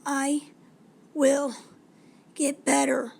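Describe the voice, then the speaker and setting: An earnest older brother working on the railroad, from a storybook, read by a woman. He speaks in a measured, steadfast manner. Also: sits high, little pitch movement, gravelly, a little mellow, voice pushed up, heavily acted. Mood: weak, determined.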